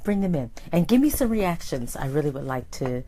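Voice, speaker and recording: Hoarse, low-voiced woman hosting a livestream. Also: fairly quick. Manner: lively, greets viewers by name, rising excited exclamations. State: hoarse, deeper voice than usual. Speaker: host and life coach. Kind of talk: live-streamed motivational talk.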